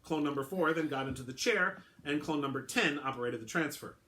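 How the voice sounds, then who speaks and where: A man's voice: fairly high pitch, fairly quick, sharp tone, a little hiss or hum, pitched above the performer's own voice. A man doing a rattled clone henchman in confession. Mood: confessing. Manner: earnest, rattled, keeps correcting himself. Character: anxious middle-aged male ex-clone henchman confessing. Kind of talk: dramatic reading of a short story.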